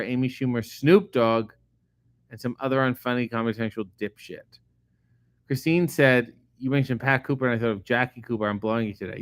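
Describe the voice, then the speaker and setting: A middle-aged man, a comedian host, reading viewer comments aloud and answering them in a casual, profane, deadpan way. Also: medium pitch, clear, resonant tone, dry recording. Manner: profane, sardonic, deadpan asides. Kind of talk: casual chat answering live chat questions.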